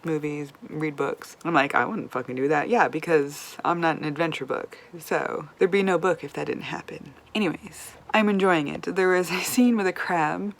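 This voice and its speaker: Casual woman book vlogger, rambling and profane, with a gravelly edge to her voice. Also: deep voice, normal speed, few pauses. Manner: chatty, rambling, swears casually, drops to whispers. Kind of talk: casual book review chat.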